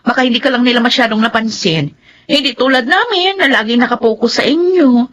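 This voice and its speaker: A woman's voice: a little high, expressive, brisk, a bit bright. A woman playing a sly, wheedling housemaid in a Filipino radio drama, with a Filipino accent. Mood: coaxing, bossy. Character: sly, wheedling housemaid who manipulates her blind mistress.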